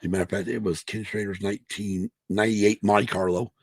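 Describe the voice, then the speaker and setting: A man co-hosting a casual podcast, hoarse from allergies, who rambles, restarts and swears a lot. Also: lowish voice, fairly even intonation, quick pace, no echo. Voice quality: gravelly, husky. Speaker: podcast co-host. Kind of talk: casual chat.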